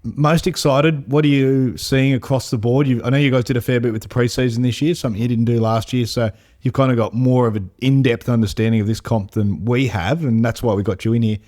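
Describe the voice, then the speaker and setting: A man hosting a casual podcast in an office, jokey and quick to laugh. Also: mid-range voice, fairly even intonation, a little mellow. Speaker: podcast host.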